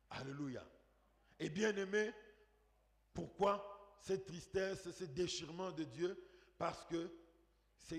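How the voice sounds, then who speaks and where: High-voiced preacher man in an echoing hall. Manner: emphatic, rhetorical questions, dramatic swells and drops. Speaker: pastor preaching. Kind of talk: sermon. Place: church hall. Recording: echoey hall with long reverb.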